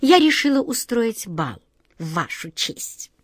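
Woman voicing imperious storybook mouse queen, highish pitch, swooping intonation, grand courtly phrasing, commanding. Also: big pitch swings, takes it slowly, no room sound, studio quality, exaggerated voice. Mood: boastful, courtly.

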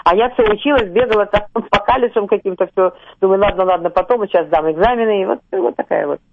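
An older woman from Russia, a singer, speaking emotionally in a radio interview, with drawn-out fillers and stray English words. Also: medium pitch, noisy background. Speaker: singer guest. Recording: tinny phone line, dry.

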